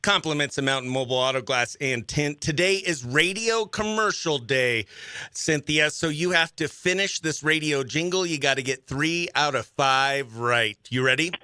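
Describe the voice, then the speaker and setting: A man's voice: on the high side, animated, moderate pace, sharp tone, clean tone, no room sound. A man hosting a radio morning show, chatting casually and upbeat with informal slang as he runs a contest. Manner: informal slangy, exclaims dude and oh heck. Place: small radio studio, close dry mic.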